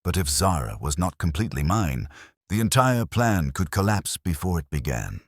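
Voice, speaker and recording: A man reading a scripted dramatic first-person story as narrator, in a measured, solemn manner. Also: low-pitched, big pitch swings, dry recording, clean studio sound.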